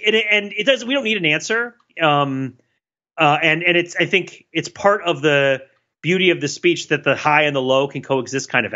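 A man co-hosting a podcast, thinking out loud in casual analytical talk, rambling with restarts and laughs. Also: a little high. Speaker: podcast co-host. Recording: dull lo-fi sound.

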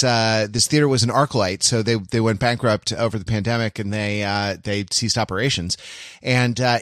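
A man's voice: lowish voice, fairly bright tone, dry recording, clean studio sound. A man hosting a casual film discussion podcast, speaking in a wry way with mock-formal asides. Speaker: podcast host.

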